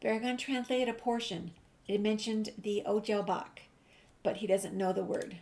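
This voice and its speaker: A woman's voice: mid-range voice, fairly even intonation, moderate pace, a little mellow. A woman giving informal, easygoing playthrough commentary and reading game text and dialogue choices aloud. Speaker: gaming video creator.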